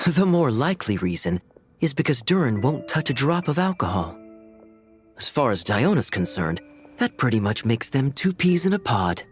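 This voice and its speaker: A man's voice: medium pitch, very animated, normal speed, soft background music, lightly acted. A man voicing a wry, laid-back, teasing adult in a video game. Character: wry easygoing smooth-talking adult male drinker. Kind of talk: video game character acting.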